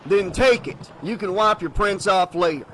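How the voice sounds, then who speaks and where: A man doing a gruff demanding sheriff. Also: high-pitched, normal speed, fairly bright tone, slight background noise, voice pushed up. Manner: demanding, suspicious. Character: gruff small-town male sheriff.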